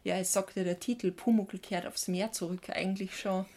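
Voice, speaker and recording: Expressive woman chatting casually. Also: mid-range voice, fairly quick. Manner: expressive, exclamatory. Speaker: podcast co-host. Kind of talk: casual chat.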